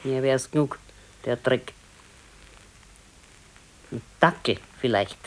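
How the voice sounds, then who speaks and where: A woman's voice: a bass voice, takes it slowly, heavily acted, low-quality recording. An actress playing an old grandmother and grumbling, practical wife in a radio play, speaking tersely and dryly with a Bavarian accent. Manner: terse, matter-of-fact, dryly contradicting. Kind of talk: naturalistic radio play dialogue.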